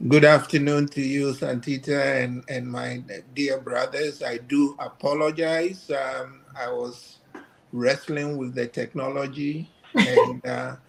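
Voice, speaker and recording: An older man from Ghana, a guest professor giving measured, hesitant commentary. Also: mid-range voice, little pitch movement, gravelly. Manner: measured, hesitant with many fillers.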